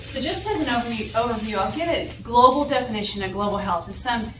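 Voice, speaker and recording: A woman's voice: fairly high pitch, expressive, quick pace. A young woman from New Mexico and Texas with a light Southwestern accent and a North Carolina drawl, giving an academic seminar talk from a podium and often checking in with "right?". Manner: explanatory, often adds "right?" to check in with the audience. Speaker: guest lecturer. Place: lecture room, standing at a podium. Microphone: room recording from a far camera. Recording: echoey room audio from far off with background noise.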